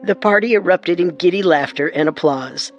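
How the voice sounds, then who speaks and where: A woman co-hosting a historical radio drama, giving measured, scripted documentary narration. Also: fairly low pitch, highs cut off, soft background music. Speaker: narrator and co-host.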